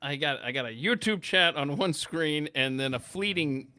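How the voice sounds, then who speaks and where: Animated middle-aged man hosting, higher voice. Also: normal speed. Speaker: podcast host. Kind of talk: casual panel chat.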